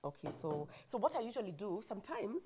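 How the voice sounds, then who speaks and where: A woman's voice: medium pitch, sing-song, quick pace, dark tone. A woman with a Kenyan accent hosting a show, chatting casually and encouragingly during a cooking demonstration. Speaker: TV show host. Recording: clearly noisy with clicks.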